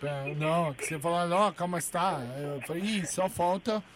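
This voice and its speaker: A man's voice: fairly high pitch, normal speed, crowd noise. A man, hosting a radio show, teasing and provoking a stranger in a prank call. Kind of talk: prank phone call and studio chat.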